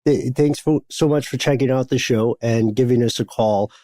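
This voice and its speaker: A man co-hosting a podcast in casual chat, with a deep voice and a habit of murmuring "mm-hmm" as he listens. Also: moderate pace, dark tone, no echo. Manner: frequent "mm-hmm" of active listening. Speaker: podcast co-host.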